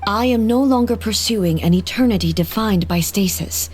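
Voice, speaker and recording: A woman playing a dignified female ruler deity in a video game, formal, measured and resolute. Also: mid-range voice, darkish tone, breathy edge, close to an everyday voice. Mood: solemn, resolute. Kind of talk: video game character acting.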